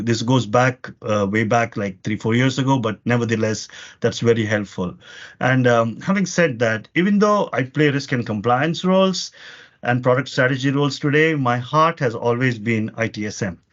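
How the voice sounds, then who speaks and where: A man's voice: medium pitch, normal speed. A man in his forties, a podcast guest, talking earnestly about his career in long runs full of fillers. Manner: earnest, talks in long lists with many fillers. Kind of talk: conversational interview answers. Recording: muffled lo-fi audio.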